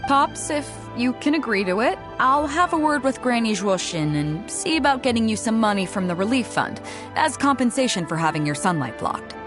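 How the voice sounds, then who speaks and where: A woman's voice: a little high, lively intonation, normal speed, over music, lightly acted. A woman voicing a confident, fair-minded ship captain in a video game, speaking in an assured, casual and persuasive way. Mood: casual, decisive.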